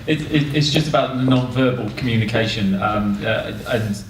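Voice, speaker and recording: A man's voice: lowish voice, normal speed. A man making a casual, off-the-cuff comment in a group discussion. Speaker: discussion participant. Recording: echoey room, distant mic, faint noise.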